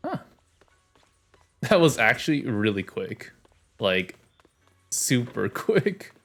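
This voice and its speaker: A man streaming a game, reading its story aloud and chatting in a relaxed way, often trailing off. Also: mid-range voice, takes it slowly, faint music behind.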